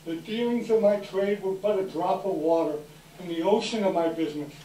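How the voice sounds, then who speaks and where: A man's voice: high-pitched, a little fast, dark tone, lightly acted. A man playing a mournful, stern ghost in a solemn, ominous, declamatory stage reading. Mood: anguished, forceful. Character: mournful, stern male ghost of a dead business partner. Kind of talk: readers theater stage reading of a classic story. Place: indoor live event room. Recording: echoey room, distant mic, background noise.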